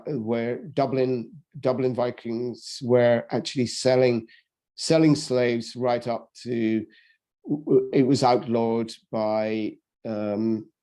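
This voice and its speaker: A man lectures on history at an unhurried, slow pace, often stopping to restart his sentences. His voice is dark and mellow, sitting at an ordinary middle pitch. He talks in a loose, off-the-cuff way rather than reading. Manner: halting, many ums and restarts. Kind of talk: unscripted history talk. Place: lives in England.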